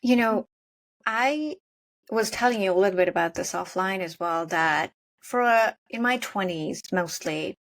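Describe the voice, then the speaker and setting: A woman's voice: mid-range voice, measured pace. Woman podcast host. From Nepal. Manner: reflective, gently questioning. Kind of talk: interview conversation.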